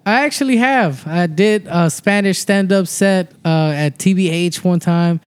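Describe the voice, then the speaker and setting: A man's voice: sits high, measured pace, smooth, dry recording. Laid-back swearing young guy, dry mic. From El Salvador. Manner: loose, swearing, laughs often. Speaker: stand-up comedian, podcast guest. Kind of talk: casual podcast chat.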